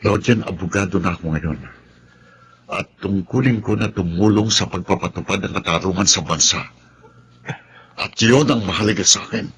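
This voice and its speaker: Radio drama role: principled Filipino lawyer, deep voice, earnest, solemn, measured delivery. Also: male voice, a bit bright, slight background noise. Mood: firm, earnest. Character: principled Filipino male lawyer, earnest, telling his life story. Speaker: drama actor.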